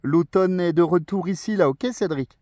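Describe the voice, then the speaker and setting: High-pitched Frenchman chatting on amateur radio. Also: moderate pace, warm tone, no echo, studio quality. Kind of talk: casual radio contact chat. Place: outdoors on a mountain, over a thousand metres.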